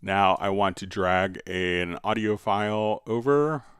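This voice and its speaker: Smooth-voiced man giving a software walkthrough. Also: on the low side, slow, no room sound, clean studio sound. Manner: explanatory, step-by-step. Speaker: livestream host, software instructor. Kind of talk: casual software tutorial, answering viewer questions.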